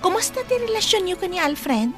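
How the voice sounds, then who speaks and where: A woman playing a friendly, reassuring young friend in a slow radio drama. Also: very high voice, very animated, fairly bright tone, faint music behind, exaggerated voice.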